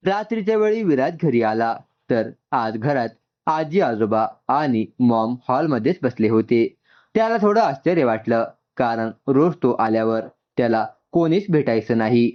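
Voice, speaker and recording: A man narrating a story in a steady, even reading voice. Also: mid-range voice, a little slow, lo-fi sound.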